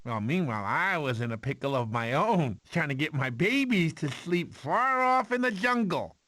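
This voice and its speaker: A goofy cartoon sloth uncle tells a bedtime story in a highish, swooping voice. He is fussy, sentimental and rambling, doting on every phrase at an unhurried pace, with some noise behind him. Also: sing-song, sharp tone. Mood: doting storytelling. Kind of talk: animated film character narration.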